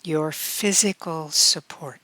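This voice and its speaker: A woman leading a guided meditation in a calm, measured manner, with long pauses between phrases. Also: deep voice, no echo.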